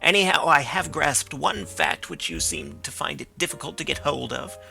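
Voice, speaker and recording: A man's voice: medium pitch, huge pitch swings, moderate pace, creaky, bright, forceful tone, faint music behind, exaggerated voice. A man reading the part of a blunt, stubborn male police inspector who talks in a dismissive way. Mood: insistent.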